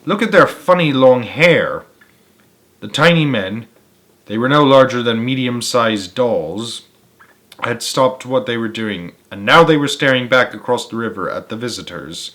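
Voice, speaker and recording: A man's voice: mid-range voice, normal speed, fairly bright tone. A man reading a children's story aloud as narrator, with a dramatic, emphatic delivery. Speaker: storyteller, host of a read-aloud series. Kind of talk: children's audiobook-style narration.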